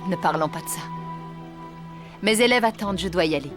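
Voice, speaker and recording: An actress playing an exacting but kindly ballet teacher in a cartoon, speaking in a formal, precise, theatrical way. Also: female voice, lowish voice, animated, fairly quick, music in the background, natural voice. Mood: gentle, encouraging. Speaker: voice actor. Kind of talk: French animated series dubbing.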